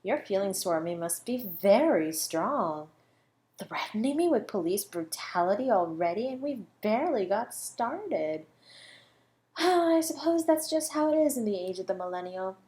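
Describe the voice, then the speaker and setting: A woman's voice: medium pitch, big pitch swings, a little mellow. Woman acting a flirty, mocking female murder suspect. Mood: flirty, teasing. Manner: teasing, condescending, theatrical, drawn-out words. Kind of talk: scripted audio roleplay monologue.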